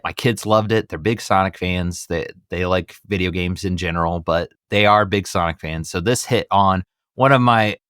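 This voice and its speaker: A middle-aged American man hosting a podcast in a lowish voice. He is chatty and enthusiastic, recorded close and dry with no room sound. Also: a bit bright, dry recording. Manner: upbeat, enthusiastic. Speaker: podcast host.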